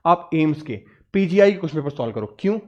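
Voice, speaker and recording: A man's voice: on the high side, animated, fast, lo-fi sound. A man with an Indian accent lecturing students on exam preparation, emphatic and instructive, often asking and answering his own questions, with English words mixed in. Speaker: exam coaching teacher.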